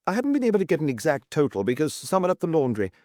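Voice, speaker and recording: A man's voice: fairly high pitch, huge pitch swings, racing, a little mellow, no room sound, clean studio sound, voice pushed up. A man voicing a diligent police officer who reports in a methodical, detailed way, in an audiobook.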